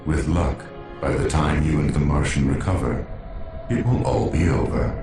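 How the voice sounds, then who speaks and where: An actor playing a cold, calculating alien machine supervillain in a video game, speaking in a measured, condescending, formal way. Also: male voice, a bass voice, vocal fry. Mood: smug. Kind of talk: video game cutscene acting. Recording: echoing voice over music.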